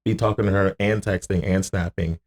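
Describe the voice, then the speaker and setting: A man's voice: low-pitched, steady tone, fairly quick, darkish tone. A man in a casual podcast chat, slangy and emphatic, telling exasperated stories with repetition and swearing. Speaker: podcast guest.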